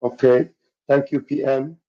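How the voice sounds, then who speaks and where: A man's voice: medium pitch, fairly even intonation, a little slow. A man moderating a live audio chat room, giving firm, repeated directions to the callers. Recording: lo-fi online audio stream.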